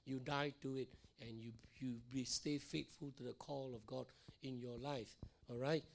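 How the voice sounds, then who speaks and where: Male pastor, middle-aged, hoarse and gravelly, repetitive teaching sermon in church. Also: mid-range voice, steady tone, normal speed, slight background noise. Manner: repeats phrases, frequent "all right?" and "okay?" checks. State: hoarse, losing his voice.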